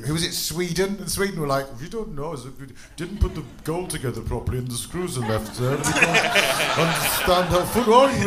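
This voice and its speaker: A swearing, lively man from England rants and tells comic stories on a comedy panel, his pitch leaping up and down as he slips into mock voices. He sits a little high for a man, and the live stage recording carries a clear layer of background noise. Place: comedy club stage before an audience.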